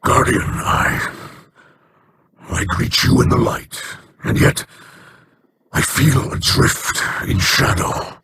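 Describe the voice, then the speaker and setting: An actor playing a solemn, regretful male elder of an alien people in a video game, speaking in measured, formal sentences. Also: sharp tone, a little hiss or hum. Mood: grave. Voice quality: low, measured, formal speech.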